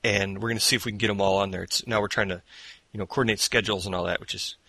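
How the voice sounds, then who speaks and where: A man hosts a laid-back gaming podcast, his voice bright and forward. He rambles with plenty of fillers and restarts, self-deprecating as he goes. The headset mic sits off to the side in a dry home room. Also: fairly low pitch, steady tone, very fast, gravelly, dry recording. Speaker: podcast host. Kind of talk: casual gaming podcast chat. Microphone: borrowed headset mic off to the side.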